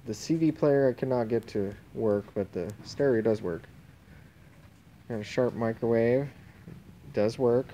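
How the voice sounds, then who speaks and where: Man, slow and offhand, phone-camera walkthrough, mid-pitched voice, faint background noise. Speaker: auctioneer previewing items. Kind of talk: casual walkthrough narration. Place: indoor warehouse and tent. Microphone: handheld phone camera.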